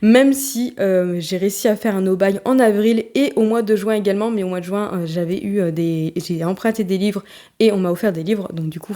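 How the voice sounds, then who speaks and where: A young woman with a stuffy, blocked nose chats casually about her reading in a rambling, filler-filled way. Her voice sits at a middle pitch with a soft, mellow tone. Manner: chatty, rambling, many fillers and restarts. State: congested, talking through a blocked nose. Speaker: book vlogger. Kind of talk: casual chat to camera.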